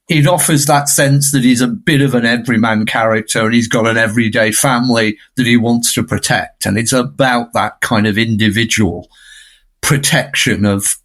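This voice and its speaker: Discursive man talking film, emphatic and conversational. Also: mid-range voice. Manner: discursive, emphatic, often seeking agreement.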